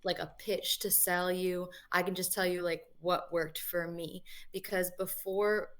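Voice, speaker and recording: A young woman from New England, a guest musician answering interview questions in a relaxed, chatty way with many fillers, over a video call. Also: fairly low pitch, measured pace. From New England, USA.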